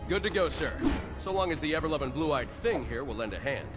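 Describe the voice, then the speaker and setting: A man acting an earnest patriotic soldier hero from a game. The voice swings in pitch and runs slightly high, dulled under loud music. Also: animated, fairly quick, lo-fi sound, close to an everyday voice.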